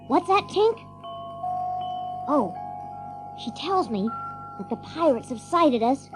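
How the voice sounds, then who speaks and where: Eager, bold child adventurer for audio drama, very high voice, unhurried pace, theatrical. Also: full character voice.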